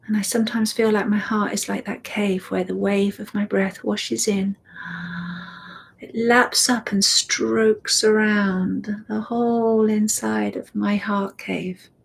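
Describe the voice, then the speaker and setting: A woman guiding a meditation, speaking softly and soothingly and often dropping into a whisper. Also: medium pitch, little pitch movement, creaky, a little hiss or hum. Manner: slow, soft and soothing, drifting into whispers. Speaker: meditation guide. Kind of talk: guided meditation.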